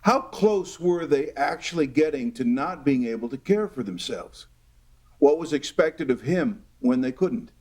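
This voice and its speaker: A man playing a reflective, wry narrator, telling a scripted period radio drama in measured fashion. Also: mid-range voice, animated, darkish tone.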